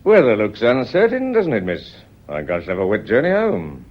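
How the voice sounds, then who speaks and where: Swooping, husky British police inspector. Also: male voice, on the low side, huge pitch swings, normal speed. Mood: genial, probing. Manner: deferential, folksy, methodical, quietly probing. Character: plodding, polite, persistent police inspector with folksy patter. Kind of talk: radio play acting. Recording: muffled old radio drama recording.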